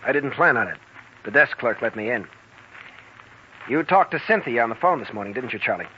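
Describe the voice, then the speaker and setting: A man's voice: medium pitch, animated, a little fast, close to an everyday voice. An actor playing a wronged, earnest and embittered man in a 1940s radio drama, urgent and clipped. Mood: indignant. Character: wronged, indignant man, earnest and increasingly bitter. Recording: muffled, hissy old radio recording.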